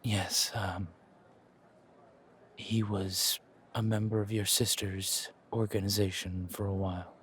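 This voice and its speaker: A man playing a nervously polite, dutiful low-ranking driver in an audio roleplay, speaking hesitantly and with formal deference. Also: flat delivery, takes it slowly, a bit bright, slight background noise. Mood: apologetic, firm.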